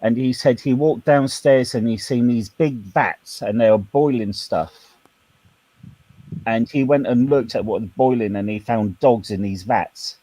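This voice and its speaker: A man's voice: medium pitch, unhurried, warm tone, no echo, rough recording. A British man on an online panel, chatting casually and bluntly, calling people "mate". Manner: blunt, says "mate" a lot.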